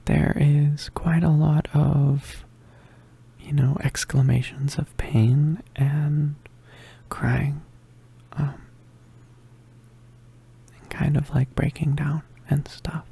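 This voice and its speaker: An ASMR creator giving a casual, soft-spoken introduction in a hesitant, often whispered and breathy voice. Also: mid-range voice, little pitch movement, extremely slow, pauses often.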